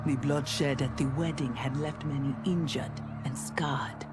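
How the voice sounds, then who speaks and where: A woman's voice: rumbling low, steady tone, measured pace. An actress playing an earnest, humble warrior woman in a video game, sincere and formal in her careful speech. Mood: somber narration. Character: earnest, humble female warrior seeking acceptance. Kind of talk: cinematic video game dialogue and narration. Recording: music behind the voice.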